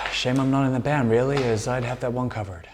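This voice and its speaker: A man's voice: mid-range voice, steady tone, brisk, echoey room, noisy background, natural voice. A man playing a sarcastic, lazy young sitcom roommate with a dry, sardonic delivery.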